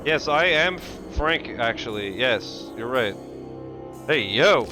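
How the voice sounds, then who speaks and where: A man's voice: medium pitch, animated, slow pace. A man reacting to game cutscenes on a livestream with casual, joking, slangy, irreverent chat. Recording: game music bleeding behind voice. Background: game music.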